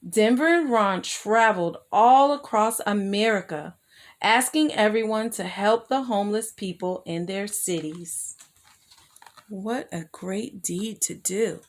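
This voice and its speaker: Woman, mid-pitched voice, children's storyteller, expressive read-aloud, addresses young listeners. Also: slow.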